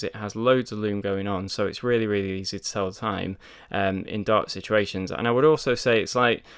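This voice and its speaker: A man's voice: fairly low pitch, a bit bright, smooth. A man talking casually through a watch review, chatty and filled with ums and repeated words. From United Kingdom. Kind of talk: casual product review to camera.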